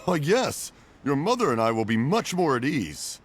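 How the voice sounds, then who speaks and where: A gentle older craftsman father in a video game. The voice sits fairly high for a man and moves expressively, kindly and unhurried, with clear ambient noise behind it. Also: big pitch swings. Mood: gentle, reassuring.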